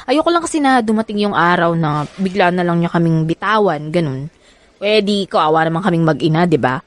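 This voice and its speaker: A woman's voice: lowish voice, animated, a little fast, lightly acted. An actress playing a young Filipina single mother anxious about love, in a natural radio drama style. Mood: worried, earnest.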